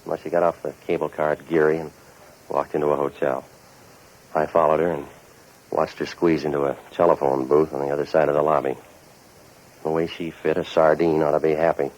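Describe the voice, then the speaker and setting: An American man playing a cynical, wisecracking private detective in 1940s radio noir style, terse and deadpan. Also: very deep voice, brisk. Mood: wry narration. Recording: muffled old 1940s radio recording.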